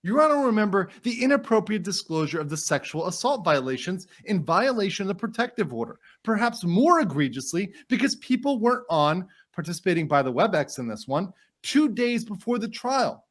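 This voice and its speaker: A man's voice: high-pitched, very animated, brisk, few pauses. A man doing a dramatic reading as an indignant, courteous trial lawyer, formal and emphatic as he argues to a judge. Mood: outraged.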